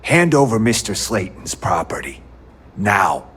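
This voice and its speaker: An actor playing a tough, threatening corporate enforcer in a video game, terse and commanding, with a rough husky voice. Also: male voice, lowish voice, big pitch swings, measured pace, fairly bright tone, exaggerated voice. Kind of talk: video game dialogue acting.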